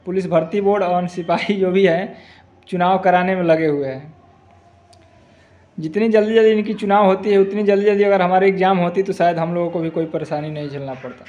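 A man's voice: sits high, darkish tone. A young man giving an informal explanation to his viewers, recorded on a phone at arm's length indoors. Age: young adult. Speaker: exam-update video host. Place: indoor room at home.

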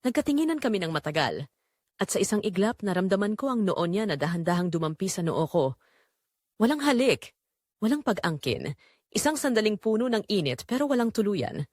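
Casting: actress as quiet, neglected Filipina wife narrating an audio romance, introspective and tender, medium pitch with expressive swings. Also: female voice, a little fast, no room sound, clean studio sound. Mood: tender, intimate. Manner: introspective, confessional. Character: Melissa, quiet long-neglected wife and mother, wistful, tender. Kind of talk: first-person romantic drama narration.

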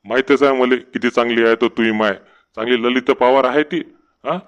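A man's voice: medium pitch, fairly even intonation, brisk, clear, resonant tone, muffled recording, lightly acted. A man voicing a henpecked, sarcastic village husband in a comedy cartoon, complaining in rural Marathi of Vidarbha. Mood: grumbling, exasperated.

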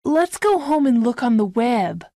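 A woman playing an earnest young female amateur detective in a storybook, speaking clearly. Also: on the high side, big pitch swings, measured pace, warm tone, no echo, clean studio sound, heavily acted. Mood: thoughtful, keen. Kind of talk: scripted audiobook dialogue.

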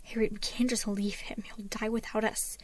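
A woman narrator voicing a kind-hearted woman in a story, soft, coaxing and earnest in her delivery. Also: medium pitch, flat delivery, moderate pace, whispered, close to an everyday voice. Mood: pleading.

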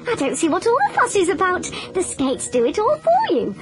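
A woman's voice: very high voice, wildly swooping pitch, a little fast, soft background music, pitched above the performer's own voice, full character voice. Woman doing a cocky young crocodile. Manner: cocky, then anxious and stammering. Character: boastful clumsy young male crocodile.

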